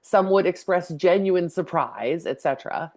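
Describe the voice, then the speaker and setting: A woman's voice: lowish voice, expressive, moderate pace, darkish tone. A woman from Canada, a language teacher co-hosting a podcast, talking casually and laughing often. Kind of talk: casual chat.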